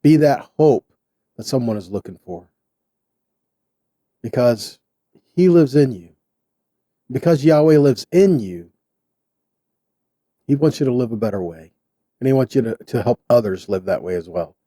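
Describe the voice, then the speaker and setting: A man giving sermon-style Bible teaching in short, deliberate phrases with an earnest, exhorting manner. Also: medium pitch, animated, dark tone, no echo, studio quality.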